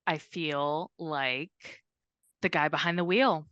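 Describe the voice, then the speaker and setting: A woman's voice: low-pitched, slow pace, a bit bright, no echo, highs cut off. A woman co-hosting a casual comedic book chat, playful and jokey, with some swearing. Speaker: podcast co-host.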